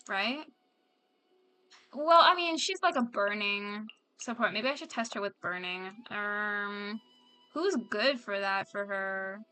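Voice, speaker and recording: A woman's voice: fairly high pitch, bright, forceful tone, poor audio. A woman streamer giving casual, chatty commentary on a game, thinking aloud as she plays.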